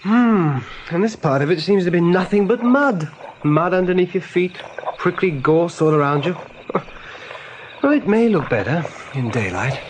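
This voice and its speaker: A man's voice: high-pitched, very animated, moderate pace, long pauses, noisy background. An actor playing a feisty, loyal, courteous but grumbling male rabbit in a radio play. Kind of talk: radio drama acting.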